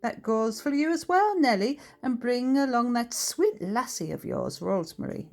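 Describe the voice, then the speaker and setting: A woman voicing a blunt, plain-spoken older Scottish adventurer with a brisk, abrupt manner in a storybook reading. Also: fairly high pitch, big pitch swings, vocal fry, a little mellow, voice pushed up. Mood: cheerful, plain-spoken.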